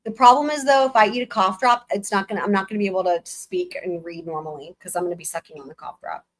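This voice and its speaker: Hoarse young woman with sarcastic asides. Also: medium pitch, quick pace. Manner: sarcastic, blunt asides with swearing. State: losing her voice, hoarse. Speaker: livestream host reading a book aloud. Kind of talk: live dramatic reading with commentary.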